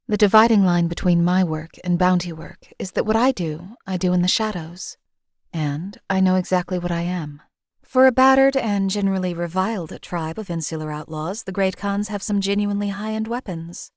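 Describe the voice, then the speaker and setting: An actress voicing a cold, detached female hired assassin for a game, speaking in a measured, formal, deadpan way. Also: fairly low pitch, no echo, studio quality, natural voice. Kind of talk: game companion voice lines.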